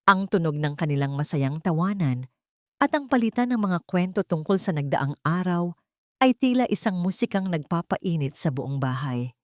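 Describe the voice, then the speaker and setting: A woman narrating a scripted dramatic story in a measured, solemn and sentimental manner. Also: lowish voice, animated, darkish tone, dry recording, clean studio sound. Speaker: narrator of audio drama stories.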